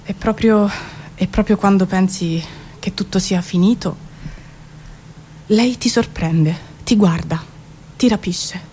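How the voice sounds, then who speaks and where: A woman giving an expressive, measured theatrical spoken-word reading with dramatic pauses. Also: medium pitch, a little hiss or hum. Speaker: performer reading her own poetic prose. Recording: music behind the voice. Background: music.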